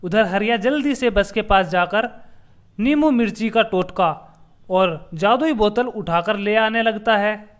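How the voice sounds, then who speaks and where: A man's voice: high-pitched, unhurried. A man narrating a scripted children's story as the storyteller.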